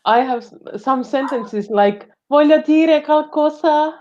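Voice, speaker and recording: A woman joining a livestream call, speaking slowly and hesitantly with pauses mid-sentence. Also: sits high, background noise. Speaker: livestream guest. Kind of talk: casual comment on a live call. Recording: muffled lo-fi call audio.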